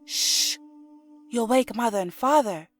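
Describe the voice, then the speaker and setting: A woman's voice: on the high side, animated, unhurried, soft background music, pitched above the performer's own voice. Storybook elder sister, read by a woman: young female dancer, confident, coaxing, playful, a little bossy. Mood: hushing, playful.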